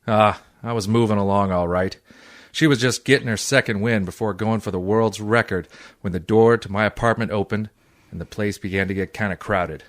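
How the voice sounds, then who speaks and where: A man acting a cynical, wisecracking private eye in an old-time radio detective drama, with a dry deadpan delivery. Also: fairly low pitch, a little fast, no room sound, close to an everyday voice. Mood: wry, world-weary. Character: hard-boiled, wisecracking male private eye and odd jobber.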